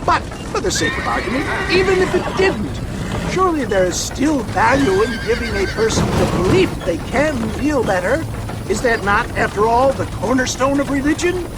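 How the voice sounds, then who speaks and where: Pompous, high-pitched old snake-oil salesman. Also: expressive, moderate pace, heavy background noise. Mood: defensive, preachy. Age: older. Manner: florid, pompous, theatrical orator.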